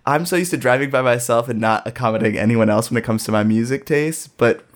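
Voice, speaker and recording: A young man from Ohio talks through a personal story in an easy, unhurried conversational voice. His pitch sits in the middle for a man. He leans heavily on filler and circles back on himself, often poking fun at himself as he goes. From Dayton, Ohio, US. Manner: rambling, lots of filler "like", self-deprecating.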